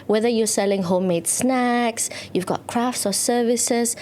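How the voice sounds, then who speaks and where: A woman's voice: medium pitch, darkish tone, a little hiss or hum. Upbeat woman hosting a podcast. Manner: scripted, upbeat introductions with frequent "um" and affirming "mm-hmm". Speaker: podcast host. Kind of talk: hosted podcast conversation.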